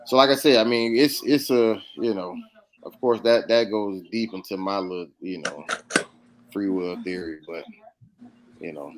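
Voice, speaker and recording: An American man in a casual podcast discussion, speaking haltingly and repeating words as he works toward his point. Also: deep voice, rough recording. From United States. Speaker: podcast co-host.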